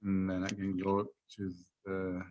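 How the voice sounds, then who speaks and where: A man with a fairly low voice in casual talk on a video call. He is informal, half muttering as if to himself. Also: completely flat delivery, unhurried, a little mellow, lo-fi sound. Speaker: actor. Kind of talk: casual chat.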